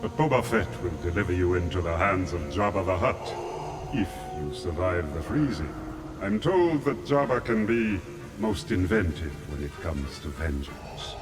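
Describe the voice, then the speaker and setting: An actor playing a commanding, menacing dark lord of a space empire in a radio drama, speaking in an imperious, measured way. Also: male voice, deep voice, expressive. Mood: cold command. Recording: loud orchestral music behind the voice.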